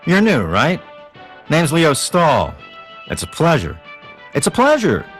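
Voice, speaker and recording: A sheepish small-town junkie in a video game. His talk is casual and jittery, with lively, jumping pitch, and music sits behind him. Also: slow pace, full character voice. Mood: friendly. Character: defensive, sheepish male junkie who runs a restaurant. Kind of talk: video game character dialogue.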